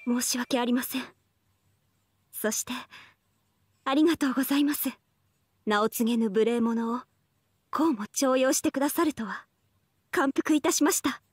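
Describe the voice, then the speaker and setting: A woman's voice: high-pitched, normal speed, pauses often, husky, dry recording, clean studio sound. A woman voicing a polite, loyal, secretive young oni warrior in a mobile game, with formal and humble speech. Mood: grateful, humble. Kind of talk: Japanese mobile game character voice acting. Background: game background music.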